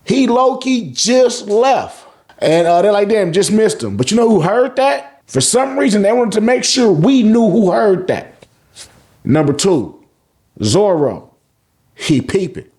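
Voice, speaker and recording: A man's voice: high voice, big pitch swings, unhurried, a little mellow. A man hosting a casual recap, talking in profane slang, acting out reactions and repeating words in bursts as he goes. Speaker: host of a manga review channel. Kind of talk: casual, animated chapter recap commentary.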